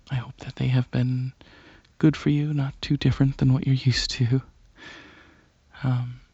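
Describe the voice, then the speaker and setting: A man voicing a gentle, hesitant alien dragon scientist in a soft, half-whispered ASMR roleplay, speaking haltingly with frequent pauses. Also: mid-range voice, slightly rough, dry recording. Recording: dull lo-fi audio with hiss, clicks.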